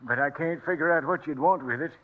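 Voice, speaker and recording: A man's voice: a little high, quick pace, natural voice. An actor playing a stubborn, cantankerous old grandfather of the frontier, with a folksy American Western drawl, in a vintage radio drama. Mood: eager. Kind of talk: 1950s Western radio acting. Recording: thin, narrow old radio recording.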